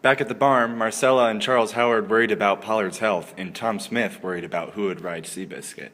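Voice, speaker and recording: A man with a fairly low voice narrates a scripted story. The recording rings with long reverb, and there is clear noise behind him. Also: fairly even intonation, fairly quick, fairly bright tone. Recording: echoey hall, clear background noise.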